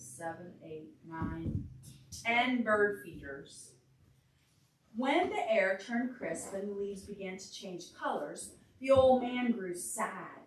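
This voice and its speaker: A woman reads a picture book aloud at an unhurried pace for small children. She sits well back from the microphone in a very echoey indoor room. She breaks off from the text to ask the children questions. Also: mid-range voice. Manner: asks the children questions along the way. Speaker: children's storytime librarian. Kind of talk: animated read-aloud to young children. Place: indoor library storytime room. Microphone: distant room microphone. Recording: echoey room, distant mic, faint noise.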